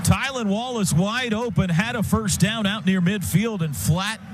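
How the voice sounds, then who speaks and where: American male radio play-by-play announcer, fairly high voice, clipped action calls, heavy stadium din behind. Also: unhurried. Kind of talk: live American football radio commentary. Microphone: broadcast booth microphone. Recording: loud stadium noise behind the voice. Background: loud outdoor stadium ambience.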